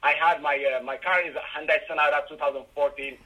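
A middle-aged man from Ethiopia with an Ethiopian accent, answering interview questions haltingly with many fillers and restarts. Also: a little high, steady tone, bright, forceful tone, rough recording. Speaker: guest, rideshare driver.

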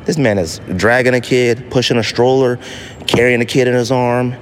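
A man's voice: mid-range voice, flat delivery, moderate pace, slight background noise. An American man hosting a casual solo podcast, talking in a slangy, emphatic way and repeating phrases for effect. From United States. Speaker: podcast host.

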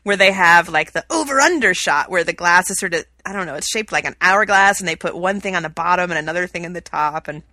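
Bright-voiced chatty woman. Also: fairly low pitch, quick pace, few pauses, no echo. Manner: chatty, laughs often, trails off mid-sentence. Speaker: podcast co-host. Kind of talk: casual chat. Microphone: remote call.

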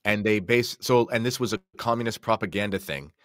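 A man's voice: lowish voice, a little fast, fairly bright tone, dry recording, studio quality. A man giving enthusiastic, explanatory commentary in a conversational podcast style. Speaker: podcast guest or co-host commentator.

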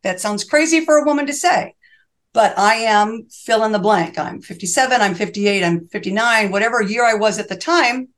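A woman's voice: medium pitch, animated, normal speed, a bit bright. A woman in her sixties, a reverse mortgage specialist guesting on an interview show, talking in earnest, fervent, run-on sentences that she often restarts. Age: 60s. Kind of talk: interview conversation.

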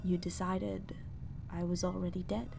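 A traumatized woman space explorer in a sci-fi horror audio drama. She speaks slowly and haltingly, haunted and betrayed, slipping into whispers. A faint rumbling noise sits under her voice. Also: flat delivery, creaky. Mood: hurt, accusing.

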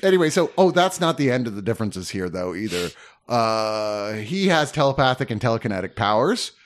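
A man's voice: fairly low pitch, big pitch swings, brisk. A man co-hosting a film podcast in casual review chat, opinionated and rambling, swearing freely.

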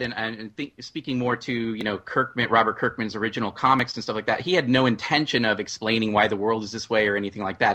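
A man's voice: lowish voice, pushed and bright. A man explaining his views earnestly as a panelist in a discussion.

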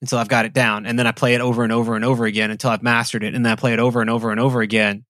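A man in casual conversation, speaking at a middling pitch with an easy, rambling delivery full of small fillers. He sounds close and dry, with no room around his voice. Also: rapid-fire, no room sound. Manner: relaxed, rambling with frequent "like" fillers. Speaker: podcast co-host.

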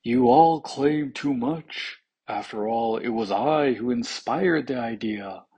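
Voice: mid-range voice, takes it slowly, dark tone, husky. A storyteller voicing a self-important, plaintive talking heart with a throbbing delivery in a fable. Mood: boastful.

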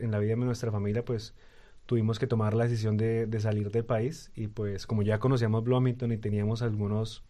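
A man's voice: on the low side, mellow. A young Colombian man with a Colombian Spanish accent, a radio guest talking hesitantly with many fillers and restarts. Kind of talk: casual interview talk.

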